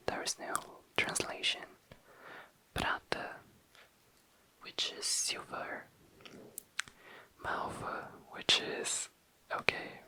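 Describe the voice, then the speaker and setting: A whispering ASMR creator with a very bright, sibilant edge to every breathy word. The delivery is slow and lingering, close to the microphone, as if showing a product piece by piece. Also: rough recording. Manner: slow, lingering, repeats words softly.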